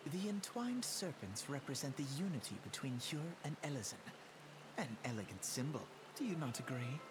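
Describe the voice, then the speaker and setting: A man's voice: medium pitch, sing-song, normal speed, background noise, lightly acted. A man playing an earnest, genteel townsman of a forest nation, with formal phrasing in a fantasy game. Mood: proud. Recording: loud music under the speech.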